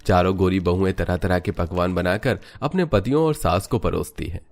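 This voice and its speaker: A man's voice: low-pitched, moderate pace, a little mellow. A man narrating a scripted cartoon family story.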